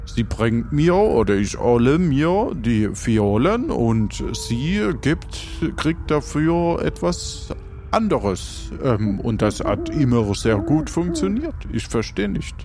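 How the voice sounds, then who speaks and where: French restaurant chef male actor, halting and polite, unhurried delivery, improvised audio drama. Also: medium pitch, very animated, a little mellow, soft background music. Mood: flustered, defensive. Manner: halting, searching for words, drops in French exclamations. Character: French master chef, defensive and courteous restaurant owner. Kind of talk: improvised audio adventure acting.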